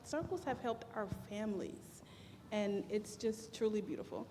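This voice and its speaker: A woman's voice: medium pitch, expressive, normal speed, mellow. Effusive woman in an echoey, noisy hall. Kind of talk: unscripted remarks to an audience. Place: large echoey room at a graduation event. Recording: echoey hall with background room noise.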